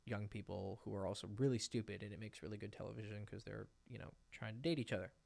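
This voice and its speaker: Gravelly-voiced man coaching casually. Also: fast. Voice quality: describes own voice as fairly high-pitched. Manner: loose and animated, acts out example dialogue. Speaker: dating coach. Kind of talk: casual advice monologue to camera. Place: indoors, sitting in a rocking chair.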